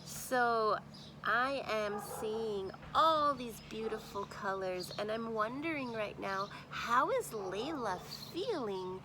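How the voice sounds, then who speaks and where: A woman teacher reading a picture book aloud to children expressively, pausing to think aloud and pose questions. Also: a little high, noisy background.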